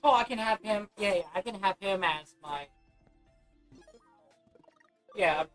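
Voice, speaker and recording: A woman streaming a video game and chatting casually, her voice scratchy and hoarse, rambling with many restarts. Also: mid-range voice, unhurried, fairly bright tone, faint music behind. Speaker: gaming livestreamer. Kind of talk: casual chat while playing.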